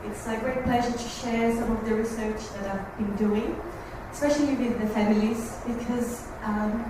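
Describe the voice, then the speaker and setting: Brazilian woman giving a talk, bit higher voice, far off mic in an echoey noisy room. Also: steady tone, moderate pace. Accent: Brazilian Portuguese. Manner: earnest, warm to the families, slightly nervous. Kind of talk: talk to a support group. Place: meeting room, recorded from far off.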